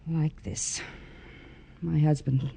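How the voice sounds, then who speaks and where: A woman's voice: low-pitched, steady tone, slow, creaky, warm tone, a little hiss or hum, heavily acted. A woman playing a hard-edged, despairing young woman in a 1950s radio crime drama, dramatic and world-weary. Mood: bitter, despairing.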